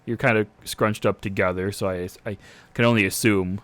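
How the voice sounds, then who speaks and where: A man's voice: lowish voice, fairly even intonation, normal speed, a bit bright, dry recording, natural voice. A man playing a nervous, unsure new militia captain in a tabletop game, stammering and hesitant. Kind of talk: improvised in-character roleplay.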